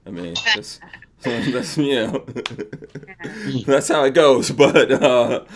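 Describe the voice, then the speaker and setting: This is a man with a New York accent who hosts a live podcast, talking in an easygoing, joking way. He laughs often. His voice sits at a middle pitch for a man. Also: very slow, creaky, a little hiss or hum. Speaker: podcast host. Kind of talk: casual livestream chat.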